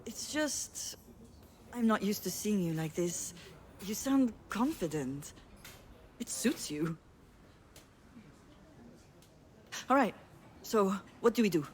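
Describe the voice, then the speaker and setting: An actress playing a proud, combative sister with a blunt, challenging way of talking in game dialogue. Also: female voice, mid-range voice, expressive, unhurried, long pauses, lightly acted. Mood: hesitant.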